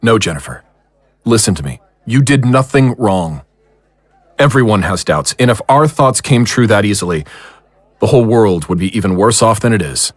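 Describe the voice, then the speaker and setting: A man playing a serious, reassuring investigator of the occult in an audio drama, measured and authoritative. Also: on the low side, animated, no room sound. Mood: firm, reassuring.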